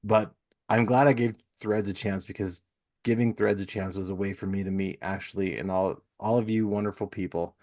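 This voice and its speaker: A man's voice: low-pitched, fairly even intonation, moderate pace, darkish tone. A man hosting a podcast, chatting casually and giving plugs in an informal, enthusiastic way. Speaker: podcast host.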